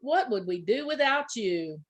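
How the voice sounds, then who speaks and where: A woman's voice: fairly high pitch, animated, measured pace. A woman voicing a big male bear who roars with delight, in a storybook reading.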